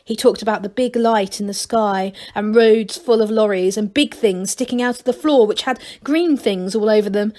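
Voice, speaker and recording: A woman reading a children's novel aloud in an expressive storytelling style. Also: mid-range voice, normal speed, few pauses. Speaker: story reader for a school.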